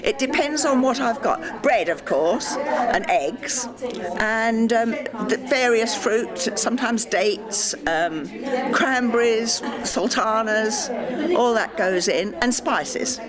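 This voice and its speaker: A woman's voice: on the high side, animated, slow. An older woman, casually reminiscing in conversation. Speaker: memory club member taking part in a drama. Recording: busy room with loud background chatter.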